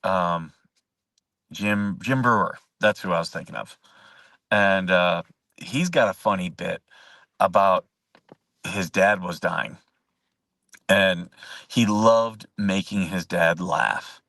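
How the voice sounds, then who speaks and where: A man's voice: deep voice, takes it slowly, creaky, long pauses, bright, forceful tone, no echo, recorded from a distance. A man hosting a casual live chat show, rambling, chuckling often and answering viewer comments as he goes. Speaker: host.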